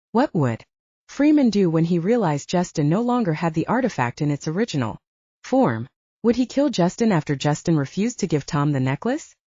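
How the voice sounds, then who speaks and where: Female fiction narrator, dark husky tone, scripted storytelling, roomy lo-fi sound. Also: fairly low pitch. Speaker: audiobook narrator. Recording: roomy, dull lo-fi audio.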